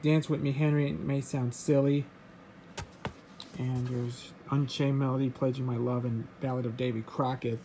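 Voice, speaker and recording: A man casually showing his record collection, reading out titles in a halting, offhand way. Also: mid-range voice, creaky, mellow, a little hiss or hum. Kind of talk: casual show-and-tell vlog.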